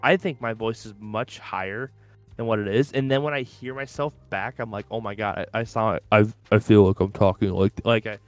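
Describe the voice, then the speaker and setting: A man's voice: lowish voice, fairly even intonation, a little fast, dry recording, faint music behind. A man co-hosting a casual podcast, rambling and filling his sentences with "like". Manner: rambling, heavy use of "like". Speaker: podcast co-host. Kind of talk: casual chat. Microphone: open-ear headphones and desk mic.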